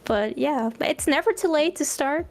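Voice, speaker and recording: A woman's voice: high voice, expressive, normal speed. A young Dutch woman with a light Dutch accent, chatting casually as a guest, often laughing and restarting her sentences. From Netherlands. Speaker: guest streamer. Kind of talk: casual chat.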